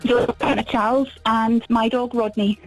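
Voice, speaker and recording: Woman, Scottish accent, quiz caller, casual chat, slightly high pitch, music in the background. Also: steady tone, normal speed, rough recording. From Falkirk, central Scotland. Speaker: radio quiz contestant and caller.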